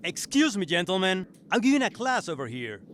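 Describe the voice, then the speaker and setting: Stern, high-pitched male teacher played by an actor. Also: very animated, fairly quick, fairly bright tone, slight background noise. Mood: annoyed. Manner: scolding, authoritative. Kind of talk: audio drama acting.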